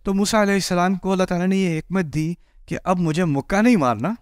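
A man in his forties giving a lively sermon on a stage, moving and gesturing as he speaks, acting out the people in the story through a headset mic. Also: fairly quick, clear, resonant tone, no room sound, studio quality. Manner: animated, gestures widely while pacing a stage; acts out voices of people in the story, rising high for a shout. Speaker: preacher. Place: indoor stage before a patterned backdrop.

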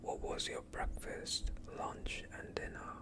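Young man, breathy whisperer for ASMR medical roleplay; soothing, very unhurried delivery. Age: young adult. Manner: calm, soothing, unhurried questioning. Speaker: ASMR performer playing a doctor.

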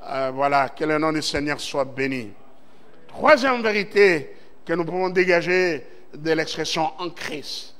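A man's voice: a little high, unhurried, a bit bright. A male pastor from Central Congo preaching a Sunday sermon, reading scripture and stressing words with rising amens. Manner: preaching with emphatic swells and call-and-response amens. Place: church service.

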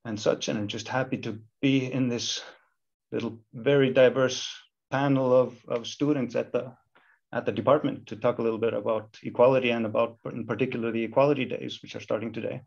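A man's voice: mid-range voice, fairly even intonation, brisk, creaky, a little mellow, slightly rough. A man in his thirties from Iceland, a university lecturer talking thoughtfully in a video-call panel, with many restarts. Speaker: university lecturer and equality committee chair. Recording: slightly dull video-call audio.

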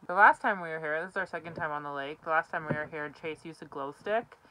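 A woman's voice: deep voice, quick pace, pushed and bright, slight background noise, low-quality recording. A young woman chatting casually in an outdoor vlog, recorded close to the camera inside a small ice fishing tent. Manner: chatty, giggly, easygoing. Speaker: outdoor vlogger. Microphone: camera held close.

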